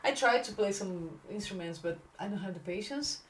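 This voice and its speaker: A woman from Brazil co-hosting a podcast, chatting casually, animated, laughing and cursing freely. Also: mid-range voice, a little fast, crowd noise, low-quality recording. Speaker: podcast co-host. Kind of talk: casual chat.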